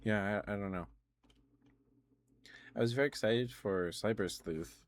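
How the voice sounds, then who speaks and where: A man's voice: on the low side, unhurried. A man hosting a livestream, chatting casually in an ASMR setting about video games in a meandering, self-correcting way. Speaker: livestream host.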